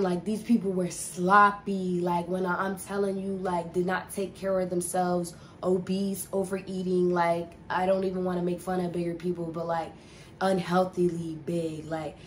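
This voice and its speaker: Young woman venting a storytime, lowish flat voice, says like a lot, bit of hiss behind. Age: young adult. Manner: rambling, profane, lots of "like" fillers. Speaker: vlogger. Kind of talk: casual storytime vlog.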